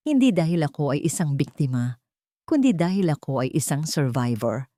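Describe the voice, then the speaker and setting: A woman's voice: deep voice, very animated, normal speed, mellow, dry recording. A woman voicing a resilient young mother and survivor who speaks steadily and earnestly in an audio drama. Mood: resolute, hopeful.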